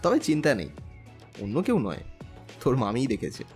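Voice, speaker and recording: A man's voice: medium pitch, normal speed, soft background music. A man playing a smug young man in an audio story, teasing his family with a cocky manner. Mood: amused teasing. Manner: teasing, lively. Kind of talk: Bengali audio story acting.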